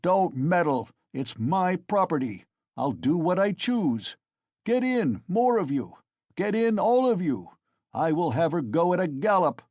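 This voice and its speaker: A man's voice: high-pitched, expressive, measured pace, hardly any pauses, warm tone, dry recording, pitched above the performer's own voice. A man voicing a drunken, thick-necked young male peasant who bellows and boasts in an audiobook. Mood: drunken bellowing.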